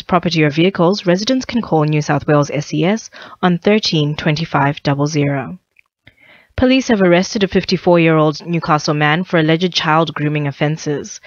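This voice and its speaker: A woman's voice: low-pitched, dry recording, dull audio. A woman reading a scripted radio news bulletin, occasionally stumbling over phrases. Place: dry radio studio.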